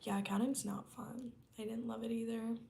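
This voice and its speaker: A woman's voice: a little high, level tone, normal speed, gravelly, warm tone. A woman in her early twenties, chatting casually with her viewers from home, her talk loose and peppered with "um" and "like.". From United States. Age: early 20s. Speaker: vlogger chatting with her live chat. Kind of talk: casual chat while working. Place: her home, working remotely.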